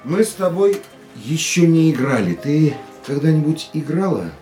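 A man's voice: fairly high pitch, expressive, normal speed, mellow, close to an everyday voice. An actor playing a passionate, jealous man in a radio play, talking in an intimate, earnest way. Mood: tender. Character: passionate jealous male racing driver. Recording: radio play with faint music.

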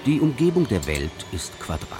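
A man narrating a fairy tale in an expressive storytelling manner. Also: medium pitch, normal speed. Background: music.

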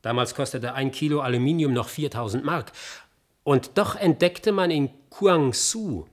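A man acts out a learned, wryly curious knowledge broker in a science-fiction radio drama. His voice sits in a middle register. He phrases things in a measured, articulate way, with dry irony. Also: medium pitch, lightly acted. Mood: matter-of-fact. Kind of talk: German science-fiction radio play dialogue.

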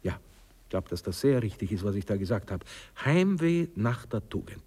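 Pompous husband, deep and animated. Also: male voice, breathy edge, big-room sound. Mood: moralizing, agitated. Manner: halting, hesitant, self-important phrasing. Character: prudish, pompous young husband, evasive and moralizing. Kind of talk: classic German radio play acting.

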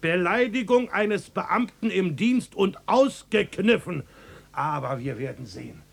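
An actor playing a pompous, indignant police commissioner with officious, stiff speech in a German radio play. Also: male voice, sits high, lively intonation, a little slow, gravelly, heavily acted. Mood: aggrieved, blustering.

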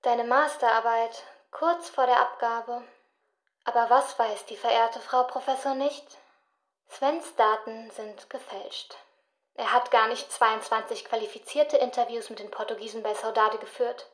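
A woman's voice: on the high side, steady tone, measured pace, lightly acted. An actress playing a bold, scheming young woman in a dramatic, provocative radio drama performance. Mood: cold, taunting. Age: young adult. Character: bold, bitter nineteen-year-old woman, scheming blackmailer. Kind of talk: German radio drama acting.

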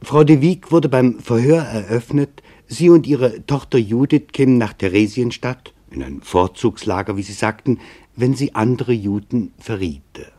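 A man narrating a scripted radio documentary in a sober, deliberate manner. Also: mid-range voice, expressive, warm tone.

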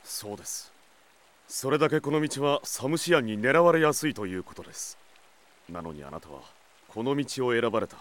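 An actor playing a loyal, courteous knight captain with serious, formal speech in an audio drama. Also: male voice, medium pitch, animated, normal speed, fairly bright tone, natural voice. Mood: questioning. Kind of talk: anime-style audio drama acting.

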